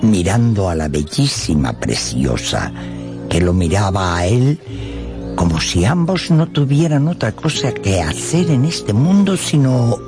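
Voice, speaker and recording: A man narrating a radio drama in an expressive storytelling style. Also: on the low side, a little slow. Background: music under the narration.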